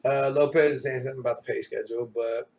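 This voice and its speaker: A man's voice: mid-range voice, fairly even intonation, quick pace, creaky, echoey room, a crowd in the background. A man from Stockton, California, hosting a casual chat, slangy and laid-back, laughing often. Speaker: podcast host.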